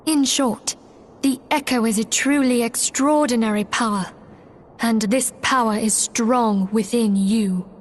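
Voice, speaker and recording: High, poised actress as serene fantasy leader. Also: female voice, unhurried, slight background noise, natural voice. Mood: earnest, explanatory. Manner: poised, archaic, solemn phrasing. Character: serene, earnest female leader of a secret order. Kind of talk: video game voice acting.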